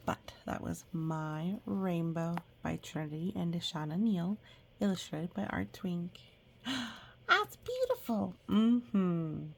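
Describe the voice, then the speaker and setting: A woman reads a picture book aloud at an easy, slow pace. Her voice is raspy with plenty of fry. She slips into chatty asides, explaining gently as if to small children. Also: lowish voice. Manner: expressive, exclaiming and gasping in reaction to pictures.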